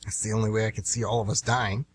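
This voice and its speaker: A man's voice: fairly low pitch, level tone, quick pace, slight background noise, close to an everyday voice. A man playing a hopeful, earnest train passenger and devoted father in an amateur radio drama, somewhat hesitant in speech. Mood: earnest, determined.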